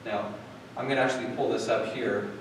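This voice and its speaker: A man's voice: medium pitch, fairly even intonation, fairly quick. A man giving a live product demonstration, rambling with many fillers and restarts. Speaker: product demo presenter. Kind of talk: live tech demo, casual. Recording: echoey hall from far off, noisy.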